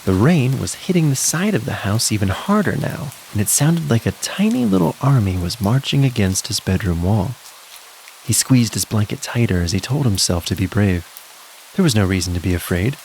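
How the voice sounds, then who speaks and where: Low, very animated male storyteller. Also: moderate pace, a little hiss or hum, low-quality recording. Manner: dramatic, suspenseful. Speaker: storyteller, narrator. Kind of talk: scripted children's story narration.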